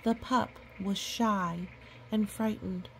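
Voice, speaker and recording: A woman reading a picture book aloud to a young child, animated and repeating words for emphasis, with explanatory asides. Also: mid-range voice, slow pace, gravelly, a little hiss or hum, rough recording.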